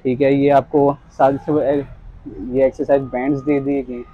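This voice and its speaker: A young man giving a casual, polite sales pitch, quoting products and prices as a shop salesman. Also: little pitch movement, normal speed, warm tone, dull audio. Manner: polite, addresses customer as sir. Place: small tiled fitness equipment shop, indoors.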